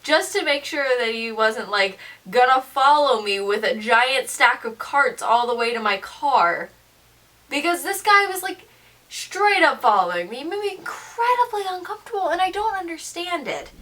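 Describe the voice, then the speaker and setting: A young woman of seventeen telling a story casually in an animated, emphatic, slangy way, as a vlogger. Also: high voice, moderate pace, recorded from a distance. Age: teens, seventeen.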